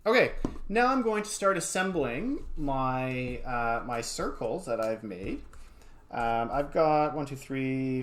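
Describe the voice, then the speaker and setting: A man teaching a casual craft demonstration in an encouraging, easygoing way, murmuring little asides as he works. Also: medium pitch. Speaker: art teacher. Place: home studio. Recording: clear background room noise.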